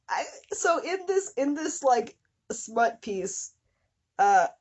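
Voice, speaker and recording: A woman's voice: a little high, darkish tone, husky. A woman hosting a comedy show, reading fan fiction dramatically with incredulous, giggly asides. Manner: breaks into giggles and incredulous commentary.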